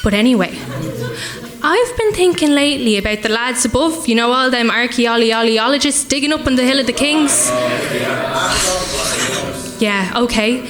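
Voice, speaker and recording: A woman's voice: on the high side, normal speed, pauses often, over loud music, lightly acted. An Irish woman playing an earnest, superstitious young folk singer in a radio play, chatting in rambling asides. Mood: nervous, chatty.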